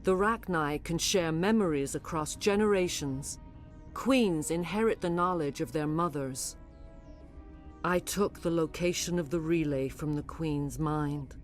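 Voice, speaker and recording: A woman playing a grave alien matriarch, a mind-controlled servant, speaking formally and with measured drama in a video game. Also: sits low, faint music behind. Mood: remorseful, grave.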